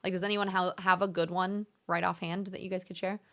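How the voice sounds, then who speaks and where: A young woman hosting a casual live-stream chat, speaking in a gentle, encouraging and thoughtful way. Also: on the low side, little pitch movement, fairly quick. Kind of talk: casual live-stream chat, reading viewer messages aloud.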